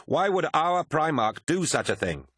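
A man's voice: medium pitch, lively intonation, normal speed, a bit bright, no echo, studio quality, voice pushed up. A man voicing a proud, petulant elite swordsman in an audiobook, with a haughty, emphatic delivery. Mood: disbelieving.

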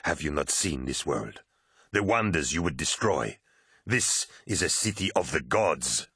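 Raspy, extremely deep fanatic warrior. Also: male voice, expressive, unhurried, fairly bright tone, no echo, studio quality, full character voice. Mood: disgusted. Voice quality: harsh rasp. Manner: grave, zealous, declamatory. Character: disdainful fanatical male warrior prophet of dark gods.

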